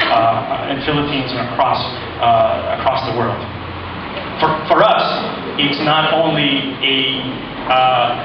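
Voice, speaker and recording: Man in an echoing hall. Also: mid-range voice. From Puerto Rico. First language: Spanish. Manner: halting, friendly, with many pauses. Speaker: visiting corporate volunteer presenter. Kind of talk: informal slide presentation to an audience. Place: large echoing presentation room. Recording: echoey, distant, dull lo-fi, noisy.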